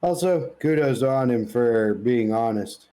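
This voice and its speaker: A man with a mellow, darker tone chats as a podcast co-host. His delivery is casual and blunt, full of slang and swearing, like opinionated banter between friends. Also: mid-range voice, animated, measured pace.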